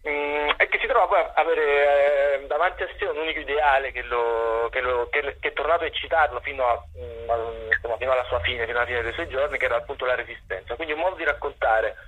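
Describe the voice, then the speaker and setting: A man with a husky voice giving conversational, hesitant and discursive answers as a radio interview guest. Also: medium pitch, fast, hardly any pauses, a bit bright, highs cut off. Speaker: reporter, playwright and director, interview guest.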